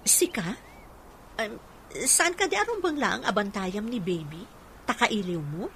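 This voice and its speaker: A woman's voice: mid-range voice, sing-song, measured pace, a bit bright, slight background noise. A woman with a Filipino accent playing a practical, agreeable young mother in a radio drama.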